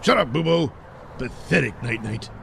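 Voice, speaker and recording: A man's voice: mid-range voice, expressive, moderate pace, creaky, fairly bright tone, a little hiss or hum. An actor playing a cruel, sneering male supervillain in a comedic audio play. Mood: menacing. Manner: sneering, bullying, theatrical.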